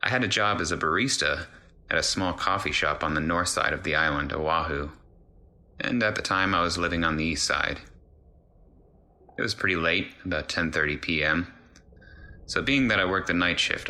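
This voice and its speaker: A man's voice: low-pitched, moderate pace, sharp tone. A man narrating a first-person scary story in a conversational, descriptive way. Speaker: narrator reading a listener's true story.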